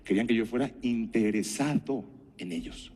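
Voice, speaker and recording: A middle-aged man with a Mexican accent giving a conference talk, telling anecdotes and asking rhetorical questions in a didactic, deliberate way. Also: mid-range voice, darkish tone. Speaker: conference speaker and health professional.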